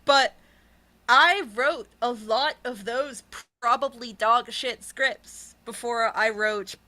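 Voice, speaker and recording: A woman with a slightly high, bright and forceful voice chats candidly in an interview. She is blunt and emphatic, and she swears freely as she talks. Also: takes it slowly. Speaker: interviewed writer and podcast creator. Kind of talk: candid casual interview chat.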